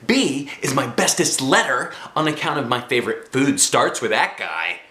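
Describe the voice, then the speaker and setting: A man voicing a self-assured, excitable little kindergarten girl who tells her storybook tale in emphatic childlike grammar. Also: medium pitch, moderate pace, echoey room. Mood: chatty, pleased. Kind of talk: first-person children's storybook reading.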